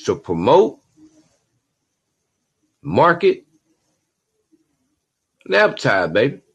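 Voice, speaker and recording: A man's voice: mid-range voice, wildly swooping pitch, drawn-out words, husky. A man hosting his own podcast, talking in an emphatic, streetwise, preachy way and tossing in "you know what I'm saying" over and over. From Georgia, USA. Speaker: podcast host. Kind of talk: solo podcast monologue.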